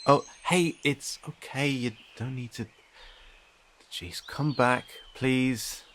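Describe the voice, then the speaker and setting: A man playing a friendly young cat-person in an audio roleplay, talking informally and hesitantly to a silent listener, with gentle questions and dry teasing. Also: mid-range voice, steady tone, takes it slowly, a little hiss or hum. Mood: startled, puzzled.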